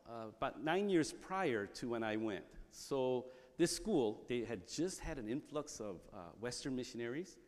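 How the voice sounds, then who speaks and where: A man's voice: mid-range voice, darkish tone. Man in his sixties speaking in a reverberant hall. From Korea. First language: Korean. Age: 60s. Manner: conversational, many fillers and restarts. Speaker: guest speaker. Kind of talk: church talk telling a personal story.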